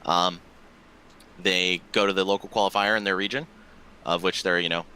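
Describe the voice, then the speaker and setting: A man's voice: sits low, steady tone, fairly quick, bright, forceful tone, smooth. A man in his twenties, a podcast guest chatting casually and talkatively, with loose filler words and the odd swear. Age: 20s. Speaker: podcast guest, esports tournament organizer.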